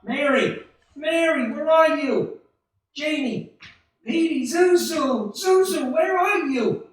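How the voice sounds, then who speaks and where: Frantic, hot-tempered family man male actor for a vintage radio play; high pitch, distant in an echoey room. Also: slow. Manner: agitated, stammering, insistent. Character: desperate, agitated American family man, bewildered and hot-tempered. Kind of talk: 1940s-style radio play acting.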